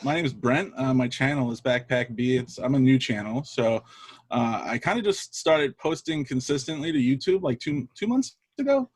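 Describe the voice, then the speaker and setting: A man's voice: medium pitch, expressive, moderate pace, husky, no echo. A man in his early thirties, a podcast guest, speaking casually in a modest, hesitant way and often restarting his sentences. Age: 30s. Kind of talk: casual chat.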